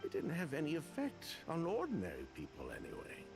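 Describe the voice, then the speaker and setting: A man's voice: fairly high pitch, wildly swooping pitch, a little fast, creaky, music in the background, full character voice. A man acting as an assertive game character who justifies his shady business in a dramatic delivery. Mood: self-justifying.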